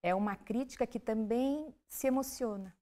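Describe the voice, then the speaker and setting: A woman author and professor answering an interviewer in a calm, measured way. She explains her research steadily and often checks in with a quick "right?". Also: a little high. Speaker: author and literature professor guest.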